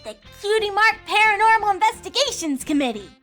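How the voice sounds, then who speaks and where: A woman voicing a brash, restless, excitable little tomboy filly in a cartoon fan story, dramatic and eager. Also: very high-pitched, fairly quick, soft background music, pitched above the performer's own voice, exaggerated voice.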